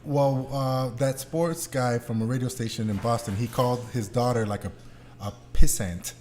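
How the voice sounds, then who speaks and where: A man talking casually and bluntly as a podcast host, opinionated and quick to swear. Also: medium pitch, steady tone, darkish tone, slight background noise. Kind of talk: casual group podcast chat.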